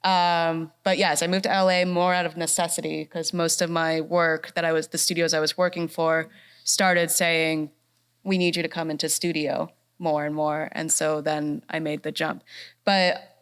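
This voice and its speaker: A woman's voice: low voice, level tone, moderate pace, clear, resonant tone. A woman from North Carolina speaking as a voice actress on a festival panel, bubbly and upbeat. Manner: bubbly and upbeat, laughs often. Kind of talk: casual panel talk. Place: fine arts center stage.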